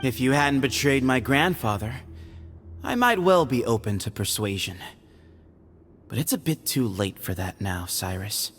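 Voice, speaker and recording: A composed young temple leader. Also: male voice, medium pitch, lively intonation, a bit bright, soft background music. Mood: calm. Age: young adult. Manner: composed, measured authority. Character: young male temple leader, calm and firm. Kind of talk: video game voice acting.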